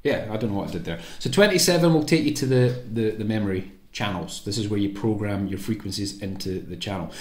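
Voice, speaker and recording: A man's voice: lowish voice, quick pace, hardly any pauses, a little mellow. A man giving an informal hands-on tutorial, explaining each step and thinking aloud as he goes. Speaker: presenter of a radio programming tutorial. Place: indoor room at a table. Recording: echoey room sound.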